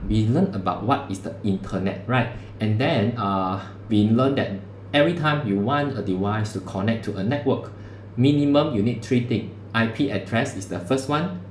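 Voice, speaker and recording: A male lecturer, teaching a class over a livestream in a casual, chatty way. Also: lowish voice. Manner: casual, conversational teaching with local particles. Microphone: streaming setup mic. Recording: echoey room, faint background hum.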